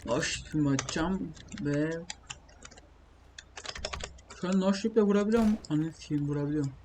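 A man's voice: a little high, quick pace. A young man gives casual, informal gameplay commentary, rambling and thinking aloud. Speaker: gaming video creator. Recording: clearly noisy home recording, keyboard clicks. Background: typing and keyboard clicks.

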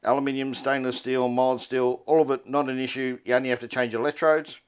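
A man's voice: mid-range voice, level tone, brisk, smooth. An Australian man presenting an informal how-to tutorial in a laid-back, colloquial way. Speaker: presenter of a four-wheel-drive repair tutorial.

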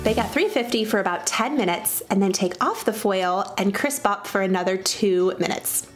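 A woman's voice: medium pitch, moderate pace. A woman from the Midwest in her thirties, narrating cooking steps in a cheerful, chatty voice-over. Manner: cheerful, chatty, walks through recipe steps. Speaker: cooking channel host. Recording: voice-over under loud music. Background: background music.

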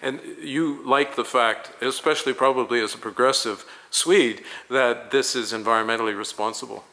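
Polished male interviewer, bright voice. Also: medium pitch, low-quality recording. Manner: polished, leading questions, measured delivery. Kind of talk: promotional interview.

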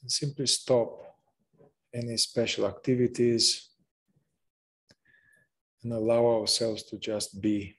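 A man leading a guided meditation, speaking gently in short phrases with long pauses between them. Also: medium pitch, level tone, breathy edge. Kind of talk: slow guided meditation narration.

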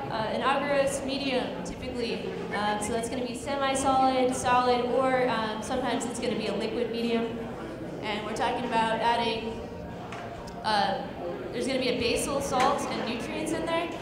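A woman giving a casual science talk to a live audience at a pub event, explaining step by step with lots of fillers, speaking into a handheld mic. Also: a little high. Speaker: guest speaker. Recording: echoey hall, loud crowd chatter.

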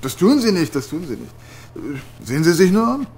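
An actor playing an affable but evasive psychiatric night nurse in a horror radio drama, overly reassuring and hesitant. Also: male voice, high-pitched, very animated, moderate pace, a little mellow, soft background music.

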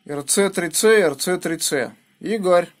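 A man's voice: high voice, a little slow, a little hiss or hum. A man working as an amateur radio operator, talking casually on the air and using call signs, recorded on a phone indoors. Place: small indoor room at a home radio station. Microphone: phone held near the radio.

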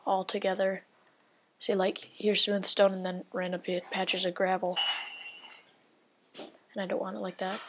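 Woman, gameplay commentator, slow unhurried delivery, rambling and half-whispered asides, faint hiss and mouse clicks behind. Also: medium pitch. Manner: rambling, thinking aloud, often drops to a whisper. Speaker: gaming video creator.

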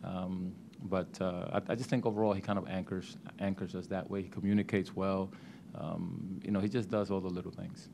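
Deep-voiced middle-aged male coach, measured, stop-start phrasing, slight room hiss. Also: level tone, gravelly. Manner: measured, repeats words when starting sentences. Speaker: head basketball coach. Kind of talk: postgame press conference answers. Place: press room. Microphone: table microphone.

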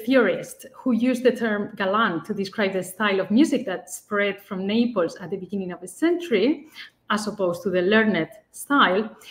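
Woman, 30s, fairly high voice, lecturer reading carefully from notes over a webcam call. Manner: careful, measured delivery with occasional restarts. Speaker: fortepianist presenter. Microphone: webcam on a video call.

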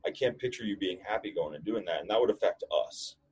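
A man's voice: fairly low pitch, animated, quick pace, husky. A man in his late twenties, a strength coach co-hosting a podcast, talking bluntly in casual chat and swearing freely.

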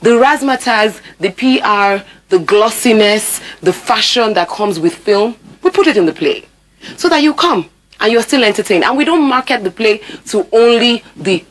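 A woman's voice: fairly high pitch, lively intonation, moderate pace. A Nigerian-accented woman in her thirties speaking as an interview guest, emphatic and repeating words to drive points home. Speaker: guest, actor and theatre producer. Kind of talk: broadcast interview conversation. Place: indoor TV studio. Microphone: lapel mic.

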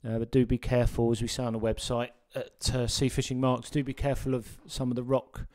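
A man narrating a sea fishing guide as a voice-over, relaxed and full of ums and uhs. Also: mid-range voice, fairly quick.

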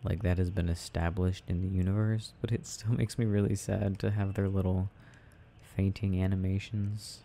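A man playing a sleepy, tender boyfriend in a soft ASMR roleplay, murmuring to himself as he games and sometimes dropping into a whisper. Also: low voice, little pitch movement, moderate pace, vocal fry, slight background noise. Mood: apologetic, soft. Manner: murmuring, dropping into whispers, hesitant self-talk. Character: sleepy, tender, sensitive boyfriend rambling while gaming. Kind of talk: soft intimate ASMR roleplay, unhurried rambling.

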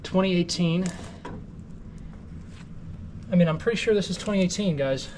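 A man exploring an abandoned building, giving low-key running commentary into a body-worn camera as he walks. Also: normal speed, a little mellow, a little hiss or hum. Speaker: urban explorer. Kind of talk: walkthrough narration while exploring. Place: outdoors then inside a large empty building. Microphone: body-worn action camera.

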